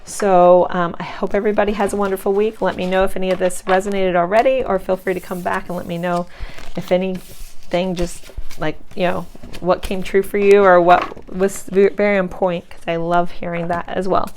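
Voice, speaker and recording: A woman's voice: lowish voice, level tone, normal speed, a little mellow, slight background noise, rough recording. A woman giving a casual pick-a-card tarot reading, chatty and reassuring, her voice congested and cracking from sinus trouble. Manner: chatty and reassuring, loose with many fillers. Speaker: tarot reader.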